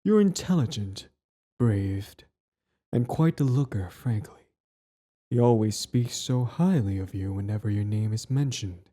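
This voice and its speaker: Man acting a husky, slow-talking villain prince. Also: fairly low pitch. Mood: mocking, flattering. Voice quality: husky, breathy, dropping into whispers. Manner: taunting, condescending, slow drawl with soft threats. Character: cold, menacing young villain prince, smug and possessive. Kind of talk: ASMR roleplay acting.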